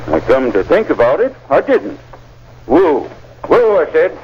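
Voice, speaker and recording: Brisk country lawman, American accent, animated pitch, methodical, radio drama, dull noisy old recording. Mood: intent, grim. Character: brisk, methodical small-town constable investigating a crime. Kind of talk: old-time radio acting.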